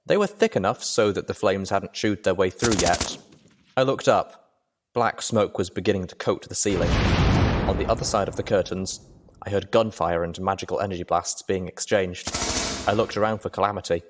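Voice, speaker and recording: A man's voice: low-pitched, normal speed, noisy background. A man reading scripted adventure fiction as the narrator.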